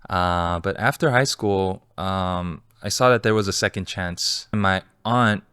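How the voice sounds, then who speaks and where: A man with a very low, smooth and resonant voice tells his story to an interviewer. He sounds relaxed and candid, with frequent fillers. The recording is close and dry. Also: unhurried, no room sound. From Los Angeles, California. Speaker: guest, entrepreneur and fund manager.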